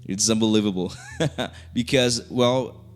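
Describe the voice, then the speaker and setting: A young man from Brazil with a Brazilian Portuguese accent, speaking English as a second language. He talks casually to camera and often restarts his sentences. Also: fairly low pitch. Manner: halting, many restarts and fillers. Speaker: YouTuber. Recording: soft music underneath.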